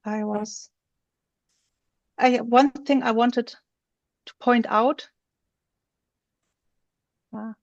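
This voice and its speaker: A woman presenting on a video call, slowly walking through a software feature while she shows her screen. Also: fairly high pitch, steady tone, dry recording, highs cut off. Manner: slow, explanatory, thinks aloud while showing her screen. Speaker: webinar presenter.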